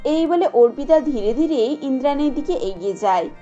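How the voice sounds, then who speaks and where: A woman narrates a cartoon family tale from a script. Her voice is notably high, and soft music plays behind her. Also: lively intonation, normal speed, lo-fi sound. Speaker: story narrator.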